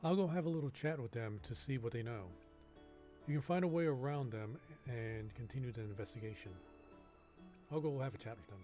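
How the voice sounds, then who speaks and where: Man, average pitch, game streamer, casual commentary, reading dialogue aloud, light background music. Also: a little fast, dark tone.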